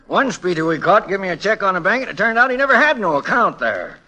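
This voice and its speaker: An actor playing a folksy, greedy small-town judge in a 1940s radio comedy, with a rural American accent and a drawling, chuckling manner. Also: male voice, high voice, big pitch swings, quick pace, bright, forceful tone, heavily acted. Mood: sly, chuckling. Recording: vintage 1940s radio broadcast.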